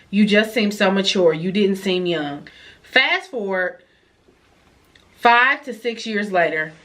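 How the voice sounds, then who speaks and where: A young woman talks through a stuffy, nasal head cold in a relaxed advice vlog. She reads viewer letters aloud and breaks in with chatty reactions. Her pitch sits in the middle for a woman. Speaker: vlogger giving advice.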